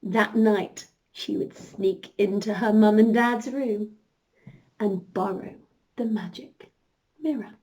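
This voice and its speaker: A woman telling an interactive story to young children as a princess entertainer, animated and encouraging, speaking directly to them. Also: mid-range voice, slow pace, rough recording.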